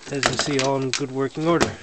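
A man with a voice of middling pitch talks in a relaxed, plainspoken way as he films his own walkaround in a garage. The handheld camera picks him up close, with clear noise running under his voice. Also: quick pace. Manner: relaxed, plainspoken, matter-of-fact. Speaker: hobbyist showing his snowmobile. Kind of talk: casual walkaround narration. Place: indoors, a garage or shed with windows. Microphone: handheld camera held by the speaker. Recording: noisy handheld camera audio.

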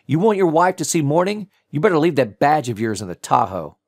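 A man's voice: fairly high pitch, very animated, a little fast, no echo, clean studio sound, pitched above the performer's own voice. A man voicing a ruthless, wry male vigilante in an audiobook thriller, with sardonic, clipped reasoning. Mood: cold, sardonic.

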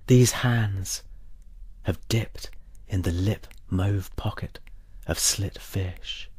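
A man reading poetry as a solemn dramatic monologue in a measured, deliberate way, with a breathy, husky voice that drops towards a whisper. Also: sits low, a little mellow, no echo, studio quality. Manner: measured, solemn, deliberate pauses between short phrases. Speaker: poet reading own work.

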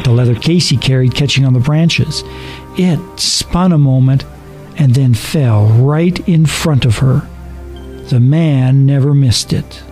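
A man reading a horror story as a dramatic narrator, deliberate and suspenseful. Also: a little high, a little mellow. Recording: narration over background music.

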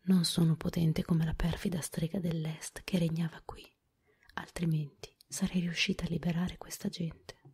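A woman whispering as a gentle old good witch. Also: lowish voice, little pitch movement, normal speed, breathy edge.

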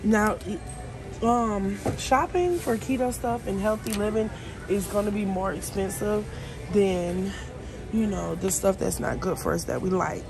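A woman's voice: mid-range voice, a little slow, creaky, over music. A woman filming a casual shopping vlog in a supermarket, chatting and thinking aloud as she reads labels. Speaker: vlogger. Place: supermarket aisles. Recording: noisy store background.

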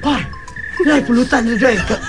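An actor playing a boorish, bossy drinking husband in a Filipino radio drama, demanding and loutish, with a Filipino accent. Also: male voice, very high voice, fairly quick, music in the background. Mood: bossy, tipsy.

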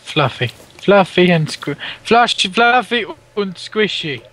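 A man's voice: high-pitched, lively intonation, takes it slowly, a bit bright. A man with an English accent chatting playfully while gaming, teasing his friend with sudden outbursts. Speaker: co-host playing a game. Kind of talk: casual gaming chat.

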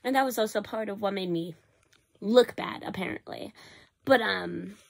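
A teenage girl, hoarse from a cough, talking casually and rambling into a webcam in her small bedroom. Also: mid-range voice, moderate pace. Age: teens. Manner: rambling, self-correcting, animated at times. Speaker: vlogger. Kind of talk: casual confessional vlog. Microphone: built-in webcam or phone mic, close.

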